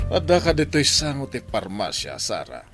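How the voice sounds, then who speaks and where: A man's voice: mid-range voice, huge pitch swings, moderate pace, over music. A man playing a wealthy, ailing doctor, humbled and rueful, in a radio drama. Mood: uneasy. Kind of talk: Ilocano radio drama acting.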